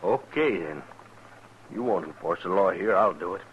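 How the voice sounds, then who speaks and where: A man's voice: sits low, animated, normal speed, slight background noise. An actor playing a hot-headed, vengeful American frontier man in a 1950s western radio drama. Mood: angry, threatening. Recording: dull 1950s radio recording.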